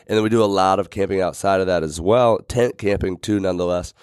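A man hosting a health podcast in casual, rambling talk, his voice a bit raspy today. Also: sits low, fairly even intonation, fast talker, a little mellow, no echo, studio quality. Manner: rambling, many fillers and restarts. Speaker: podcast host.

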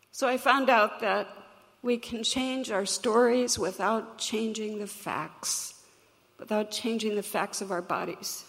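A woman with a crackly voice, telling a personal story to an audience in an earnest, reflective, measured way. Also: mid-range voice, creaky. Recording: long hall reverb.

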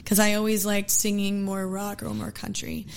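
A woman singer from Texas, a guest, talking casually with lots of fillers and easy laughs, her voice a little hoarse. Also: medium pitch, monotone, normal speed. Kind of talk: casual interview chat.

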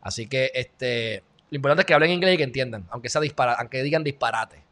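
A man's voice: mid-range voice, fast, fairly bright tone. A man from Puerto Rico with a Puerto Rican accent, giving loose, joking commentary on the news to his viewers. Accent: Puerto Rican Spanish. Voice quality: loose, chatty, joking asides. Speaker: video host.

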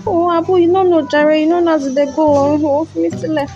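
A Nigerian woman playing a weary, grateful mother of small children in an audio drama, expressive and exclamatory. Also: high voice, a little fast, lo-fi sound, over music. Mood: animated, weary.

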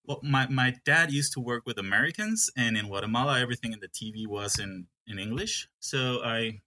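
Bright-voiced man from Peru, halting. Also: medium pitch. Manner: hesitant, with many restarts. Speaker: coffee farm manager. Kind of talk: casual interview.

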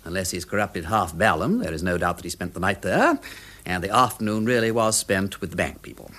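An actor playing a witty, playful aristocratic gentleman detective in a radio drama, with an upper-class English accent and flamboyant old-fashioned slang. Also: on the low side, heavily acted.

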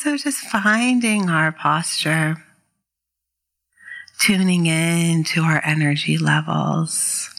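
Slow, bright-voiced woman guiding meditation. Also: sits low, gravelly. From New England, living there. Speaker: meditation teacher. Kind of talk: guided meditation instruction to an online community.

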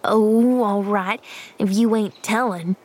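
A woman voicing a proud, sullen little boy in an audiobook, talking in a gruff, folksy, ungrammatical way. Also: on the high side, measured pace. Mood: sullen, indignant. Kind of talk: audiobook character voice.

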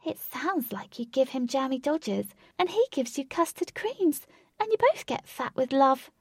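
A woman's voice: high-pitched, very animated, moderate pace, dry recording, clean studio sound, pitched above the performer's own voice. A woman voicing a cheeky, knowing female adviser in an audiobook, playful and emphatic as she hands out advice. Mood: teasing, knowing. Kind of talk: audiobook character voice.

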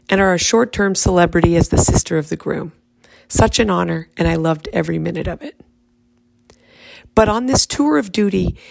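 Hoarse woman with a gravelly, fried voice. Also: medium pitch, dry recording. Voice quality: raspy, fried voice. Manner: soft, unhurried speaking. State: hoarse from laryngitis. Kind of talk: reading her own poem aloud.

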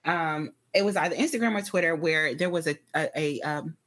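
A woman's voice: deep voice, brisk, a bit bright, slight background noise. A woman co-hosting a casual pop culture chat, candid and a bit hesitant, with many fillers. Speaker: podcast co-host.

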